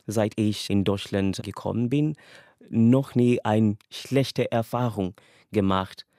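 A man's voice: fairly low pitch, slow pace, warm tone, no room sound, clean studio sound. A young man from Kenya, a language student whose first languages are English and Swahili, giving a casual, earnest personal account and laughing lightly between thoughts.

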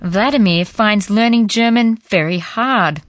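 A woman narrating a language course in a measured, explanatory way. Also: medium pitch. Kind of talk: scripted narration.

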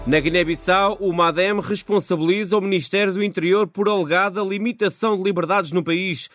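A man's voice: high-pitched, fairly even intonation, fairly quick, a bit bright, no room sound, faint music behind. A man presenting scripted radio news as host, speaking into a studio microphone.

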